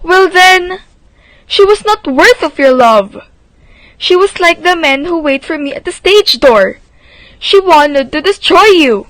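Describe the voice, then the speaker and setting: A woman's voice: very high voice, animated, measured pace, a bit bright, dry recording, exaggerated voice, rough recording. A woman playing a tender, ardent young dancer in a radio drama, earnest and melodramatic. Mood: indignant.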